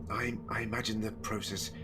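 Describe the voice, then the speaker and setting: A man playing an anguished, earnest, protective time-traveller hero in an audio drama, halting when distressed. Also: on the low side, heavy background noise, close to an everyday voice. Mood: remorseful.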